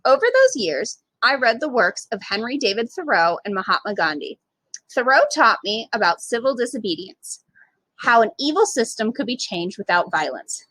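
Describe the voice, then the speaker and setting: A woman's voice: a little high, normal speed, dry recording, poor audio. A woman reading a children's picture book aloud as a storyteller, expressively acting out the dialogue. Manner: animated, acts out dialogue.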